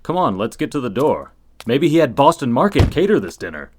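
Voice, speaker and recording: An actor playing an earnest, dim young reporter in a comedic radio play, delivering lines in a deadpan, earnest manner. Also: male voice, medium pitch, brisk, background noise, natural voice.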